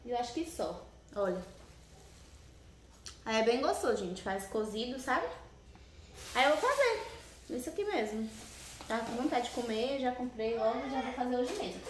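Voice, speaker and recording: A woman's voice: a little high, very animated, moderate pace, long pauses. A young Brazilian woman chatting casually to viewers as she cooks in a small home kitchen, often checking in with a "né, gente?". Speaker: cooking vlogger. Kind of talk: casual cooking chat to camera. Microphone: camera on the counter, partly hand-held over the stove. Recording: echoey tiled kitchen, faint background noise.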